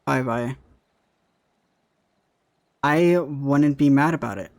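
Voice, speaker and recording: A man's voice: mid-range voice, slow pace. A young man, a content creator, chatting casually about his future, rambling and often repeating himself with "right?". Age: young adult. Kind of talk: casual chat.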